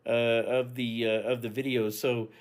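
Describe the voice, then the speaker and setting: A man giving a casual, unscripted, matter-of-fact explanation as a hobby radio vlogger, speaking haltingly. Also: medium pitch, little pitch movement.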